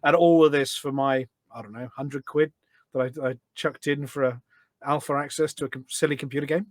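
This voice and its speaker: A middle-aged man chatting casually as a podcast guest, telling stories in an animated way. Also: medium pitch, a little fast, dry recording. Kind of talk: casual anecdotal chat.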